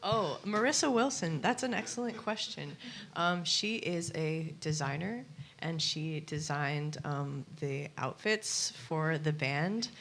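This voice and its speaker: A woman hosting an audience question-and-answer session at a live event, speaking in a relaxed, chatty way. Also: deep voice, slow pace, hardly any pauses, slight background noise. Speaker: event host and performing musician.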